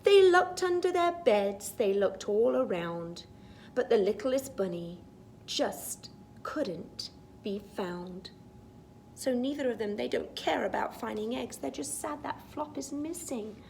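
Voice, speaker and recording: A woman storytime teacher reading a picture book aloud to young children, expressive and sing-song, with chatty asides. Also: a little high, creaky, a little hiss or hum.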